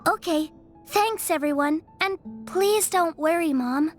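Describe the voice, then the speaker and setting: A woman voicing a frail, sweet young girl in a video game, soft and childlike, speaking politely and gently. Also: extremely high pitch, slow pace, soft background music. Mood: meek, sweet.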